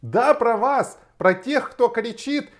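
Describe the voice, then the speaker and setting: Man, 30s, from Kharkiv, lawyer-vlogger; emphatic and argumentative, lively pitch, in a small room. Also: takes it slowly. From Kharkiv, Ukraine. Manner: emphatic, argumentative, gestures while talking. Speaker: lawyer and blogger. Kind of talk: direct-to-camera video reply. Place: small indoor room, plain wall.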